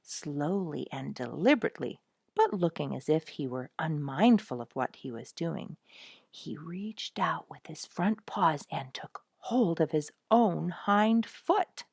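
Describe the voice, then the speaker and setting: A woman narrating a children's story in an expressive, animated storytelling style, acting out the key moments. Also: low voice, a little slow, creaky, slightly rough, dry recording, clean studio sound. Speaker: audiobook narrator.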